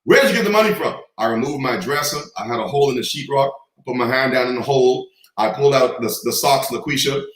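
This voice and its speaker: A man's voice: mid-range voice, steady tone, fast, roomy sound, low-quality recording. A man from the Bahamas, a guest telling stories with confident, preacher-like cadence. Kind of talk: storytelling in a conversation.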